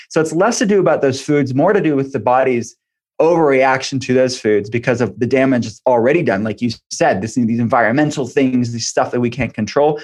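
A man's voice: mid-range voice, a little mellow. A man speaking as an expert guest in an interview, explaining point by point and repeating phrases for emphasis. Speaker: guest expert, functional medicine practitioner.

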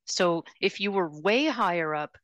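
A woman's voice: on the low side, expressive, unhurried, fairly bright tone, dry recording. A woman in casual conversation, thinking aloud in a thoughtful way as a guest on a talk show.

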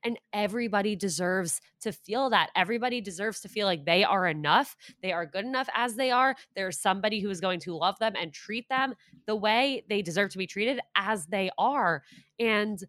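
A woman's voice: mid-range voice, moderate pace, a bit bright, no echo. A young woman hosting a podcast, talking frankly and with emphasis in a casual interview. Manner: frank and emphatic, mocks bad advice. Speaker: podcast host.

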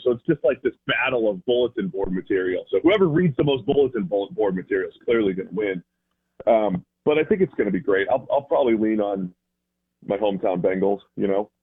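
Husky American man on a phone line. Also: on the low side. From Boston area, United States. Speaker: football analyst, radio guest. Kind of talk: conversational sports analysis. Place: remote call into a radio show. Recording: thin phone-like guest line.

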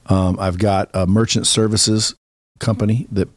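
A man with a fairly low voice, recorded dry and close. He talks casually, like an entrepreneur guesting on a podcast. He is blunt and sure of himself, with a salesman's turn of phrase. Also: level tone, measured pace, no room sound. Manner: blunt, self-assured, salesman-like, mildly profane.

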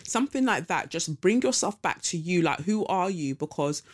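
A woman's voice: on the low side, expressive, moderate pace. A woman hosting a podcast in a casual, rambling way, full of ums and the odd swear word. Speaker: podcast host. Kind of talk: casual spiritual podcast chat.

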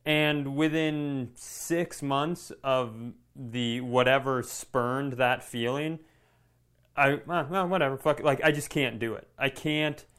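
Slow-talking, reflective man in casual chat. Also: mid-range voice, fairly bright tone, clear, resonant tone. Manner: halting, reflective, informal. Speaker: podcast co-host.

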